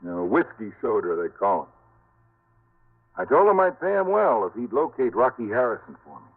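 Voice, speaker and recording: A man playing a shrewd middle-aged man with paid informants, confiding and earnest, with a husky voice. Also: mid-range voice, sing-song, brisk. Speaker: client who hires the detective. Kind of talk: 1950s radio drama acting. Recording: tinny old radio broadcast.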